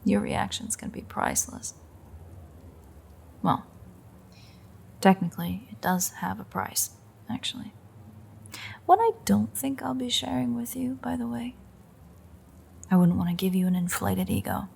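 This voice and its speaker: A woman voicing a bitter, threatening female vampire in an audio roleplay, sardonic and foul-mouthed, in a breathy, creaky murmur. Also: medium pitch, a little slow, pauses often, darkish tone, a little hiss or hum. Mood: frustrated, wry. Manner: sardonic, profane, taunting. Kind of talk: intimate audio roleplay monologue.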